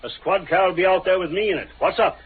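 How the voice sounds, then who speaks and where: An actor playing a brusque, cocksure police sergeant in a 1930s radio drama. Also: male voice, high voice, fast, close to an everyday voice. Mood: brusque, confident. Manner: gruff, brusque. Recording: noisy, tinny old radio recording.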